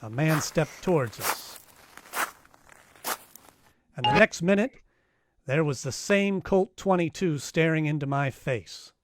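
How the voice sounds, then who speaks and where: A man's voice: fairly high pitch, expressive, slow, long pauses. A man playing a wry, hard-boiled private detective in 1940s radio style, dry and wisecracking. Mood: tense storytelling. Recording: live-streamed radio play with music underneath.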